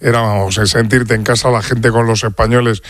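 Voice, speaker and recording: A man's voice: medium pitch, flat delivery, a little fast, dry recording, clean studio sound. A man on a sports radio panel speaking emphatically. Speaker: radio pundit.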